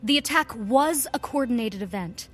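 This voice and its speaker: An actress playing a sharp, frank nurse in an audio drama, with a brisk way of talking. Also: female voice, a little high, expressive. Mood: urgent, frank. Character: sharp, plain-spoken adult female nurse and ally.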